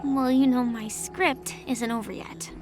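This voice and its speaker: A gentle young woman, voiced by an actress, with a very high, sweet pitch. She sounds earnest and polite, a quietly determined game heroine. Music runs underneath. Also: fairly even intonation, a little slow, close to an everyday voice. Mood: calm resolve. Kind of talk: video game voice acting. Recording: music behind the voice. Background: background music.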